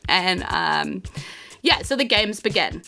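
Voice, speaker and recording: Casting: female game master, New Zealand accent, bright lowish voice, casual storytelling with soft music behind. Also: lively intonation, slow pace, gravelly. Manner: informal, conversational. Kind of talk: casual improvised tabletop game narration.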